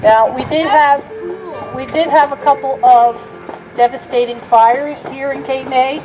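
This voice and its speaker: A woman giving guided tour commentary from a horse carriage outdoors, with a loud voice and a chatty, joking manner. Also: high voice, fairly even intonation, a little slow, rough recording. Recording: background music over the voice.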